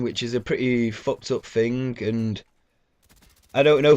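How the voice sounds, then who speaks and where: A man giving casual gameplay commentary with a blocked nose, rambling and swearing loosely between fillers. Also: medium pitch. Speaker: gaming YouTuber.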